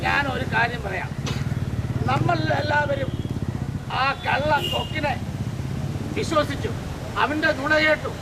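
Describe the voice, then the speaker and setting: A man's voice: extremely high pitch, takes it slowly, long pauses, full character voice. A man voicing a small male crab in a live street puppet show, pleading and calling out eagerly. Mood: proud. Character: small trusting male crab, later clever. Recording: distant voice, loud street noise.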